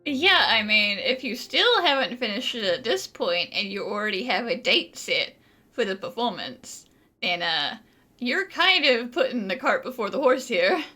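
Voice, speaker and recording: Bright, wry young adventurer. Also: female voice, on the high side, very animated, moderate pace, heavily acted. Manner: casual, wry. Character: young adventurer hero, casual, wry, friendly. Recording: music behind the voice.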